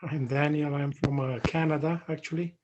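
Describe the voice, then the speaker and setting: A man's voice: a little high, steady tone, dull audio. A man from Romania speaking on a video call, introducing himself hesitantly with frequent fillers. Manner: hesitant, pauses often with fillers. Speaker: webinar participant. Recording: video call with background noise.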